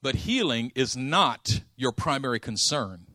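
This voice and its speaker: Bright-voiced animated male preacher. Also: medium pitch, unhurried, dry recording, studio quality. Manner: animated storytelling, acting out dialogue; casual slang. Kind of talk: sermon.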